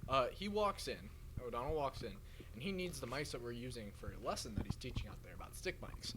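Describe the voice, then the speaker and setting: A man's voice: medium pitch, expressive, quick pace, noisy background. A young American man hosting a casual podcast, steering the banter in a slangy, joking way on a stick mic. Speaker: podcast host. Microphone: stick microphone.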